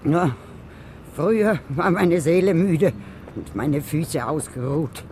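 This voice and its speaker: A performer playing a weary but serene old grandmother in a radio play, speaking with slow dignity. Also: medium pitch, animated, a little mellow. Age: older. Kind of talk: 1980s German radio play acting. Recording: radio drama with outdoor street noise.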